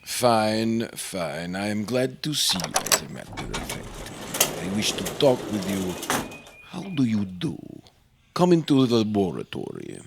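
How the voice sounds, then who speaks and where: Man playing a low, gravelly, imperious professor. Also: huge pitch swings, a little slow, noisy background, exaggerated voice. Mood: coolly formal. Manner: formal, clipped, imperious. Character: brusque, commanding male professor, suspicious and self-important. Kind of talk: radio drama acting.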